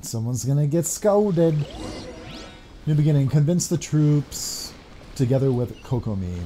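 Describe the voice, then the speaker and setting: A man's voice: on the high side, expressive, a little slow, warm tone, a little hiss or hum. A man in his thirties giving casual playthrough commentary as a gaming streamer from a room at home.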